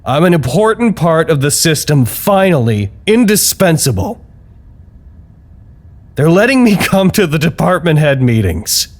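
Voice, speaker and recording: An actor playing an anxious, earnest office worker who records wry, reflective, halting confessional reports in an audio drama. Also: male voice, on the high side, big pitch swings. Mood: proud, uneasy. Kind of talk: audio drama monologue, intimate log narration.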